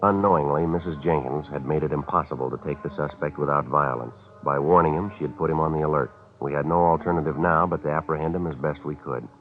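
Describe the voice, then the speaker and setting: An actor playing a terse, no-nonsense police detective with a clipped, matter-of-fact delivery in old radio drama style. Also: male voice, very deep voice, quick pace, few pauses, soft background music. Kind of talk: 1940s radio acting with scripted narration. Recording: tinny old radio recording.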